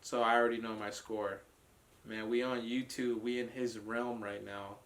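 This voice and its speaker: A man's voice: medium pitch, flat delivery, moderate pace, a bit bright. A man co-hosting a casual reaction podcast, blunt and profane, ranting with frequent laughs. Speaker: podcast co-host reviewing a trailer. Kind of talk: casual group reaction chat.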